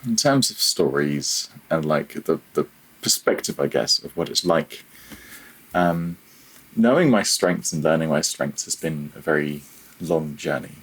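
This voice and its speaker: A man's voice: rumbling low, lively intonation, measured pace, slightly rough, poor audio. A man speaking casually and reflectively as a podcast guest, hesitant and self-deprecating in how he phrases things. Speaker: podcast guest, freelance audio developer.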